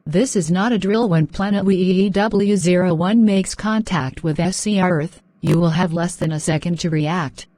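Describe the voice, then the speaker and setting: Mellow, lowish woman's emergency announcement voice. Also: moderate pace, hardly any pauses, no room sound. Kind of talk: scripted emergency broadcast announcement.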